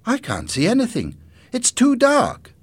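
A man voicing a curious, eager teenage granddaughter in a storybook, with a questioning, excitable manner. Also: unhurried, breathy edge, no echo, exaggerated voice. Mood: puzzled. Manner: high-pitched, questioning, excitable. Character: curious, eager sixteen-year-old granddaughter.

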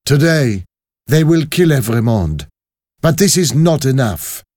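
A man's voice: medium pitch, very animated, a little slow, a little mellow, dry recording, clean studio sound, pitched above the performer's own voice. A man voicing a vengeful revolutionary woman villain in a storybook, cold, emphatic and menacing.

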